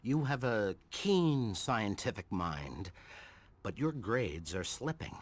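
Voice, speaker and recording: An actor playing a stern but caring middle-aged science professor in a video game. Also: male voice, on the low side, very animated, takes it slowly, heavily acted. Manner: stern, admonishing.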